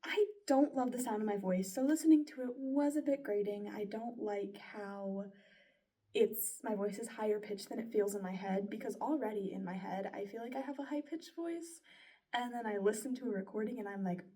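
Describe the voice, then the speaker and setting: A woman's voice: mid-range voice, moderate pace, dark tone, recorded from a distance. A young woman, a broadcasting student, reflecting aloud on her own work in an earnest, chatty way with plenty of likes and sos. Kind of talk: casual spoken self-reflection.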